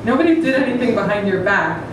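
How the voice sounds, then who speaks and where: Woman, thirties, quick delivery, dramatic fiction reading, distant mic, noisy. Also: medium pitch, sing-song, heavy reverb. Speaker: reader at a literary event. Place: stage of a small event room with an audience. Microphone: table microphone on a stand.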